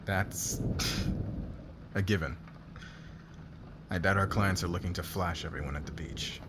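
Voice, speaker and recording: A man acting a demanding, curt fashion boss who gets flustered by attraction, in an ASMR roleplay with clipped orders and hesitant pauses. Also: low voice, background noise. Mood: flustered, businesslike.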